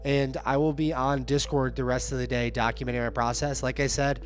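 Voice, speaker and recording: Man, hoarse, losing his voice, upbeat livestream talk, middle pitch, faint background music. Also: little pitch movement, fairly quick. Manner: upbeat, hype-driven, addresses viewers directly. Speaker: livestreaming app developer. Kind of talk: casual livestream chat.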